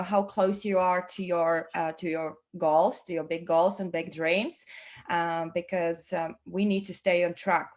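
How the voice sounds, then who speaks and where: A woman from Latvia hosting an online team webinar, upbeat and chatty, with many fillers and restarts, speaking over a video call. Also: mid-range voice. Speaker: webinar host. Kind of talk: online team meeting presentation.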